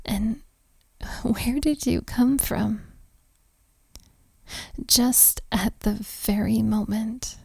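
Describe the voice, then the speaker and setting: A flustered young hotel maid, acted by a woman in an intimate audio roleplay. She speaks very slowly and haltingly, with a creaky edge in a fairly high voice. She is shy and self-deprecating, softly giggly as she warms to a stranger. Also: no echo, studio quality, heavily acted. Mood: curious, tender. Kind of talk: intimate audio roleplay, one-sided conversation.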